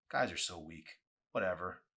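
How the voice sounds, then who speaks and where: A man's voice: low-pitched, takes it slowly, pushed and bright, husky, heavily acted. A man voicing a snarky teenage girl cashier in a novel reading, angry and contemptuous. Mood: disgusted.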